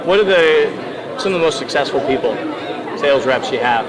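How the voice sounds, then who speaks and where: Fast-talking interviewer over a loud crowd. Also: male voice, mid-range voice, animated, poor audio. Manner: brisk, affirming with short acknowledgments. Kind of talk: interview questions. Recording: loud crowd noise behind. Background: crowd chatter.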